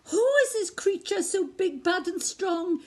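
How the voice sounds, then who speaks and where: A woman voicing the Gruffalo's child, a young female monster, sounding bold and curious in a storybook reading. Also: fairly high pitch, very animated, slow pace, mellow, heavily acted. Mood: awed. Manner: bold, curious, wide-eyed.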